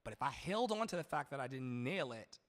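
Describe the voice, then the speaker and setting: Brief: male vocal coach on a live stream, boomy baritone-tenor, loose and chatty, mid-pitched, long reverb tail on the mic. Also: sing-song, a little fast. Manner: rambling, chatty, profane, sings snatches mid-talk. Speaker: singer and live-stream singing teacher. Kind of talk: casual live-stream talk with sung demos. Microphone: studio mic with added reverb.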